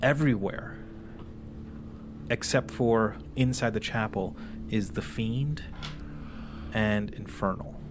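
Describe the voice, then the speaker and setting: A man's voice: lowish voice, slow, pauses often, noisy background. A man narrating a tabletop role-playing game as game master.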